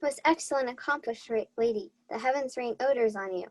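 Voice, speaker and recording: A woman's voice: fairly high pitch, a little fast, lightly acted. A woman playing an earnest young servant in disguise, speaking formally and courteously in a Shakespeare radio play. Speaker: student actor.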